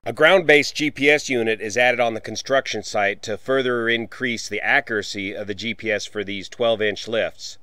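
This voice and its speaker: Low-voiced man narrating a voice-over. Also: bright, forceful tone, dry recording. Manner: explanatory, measured.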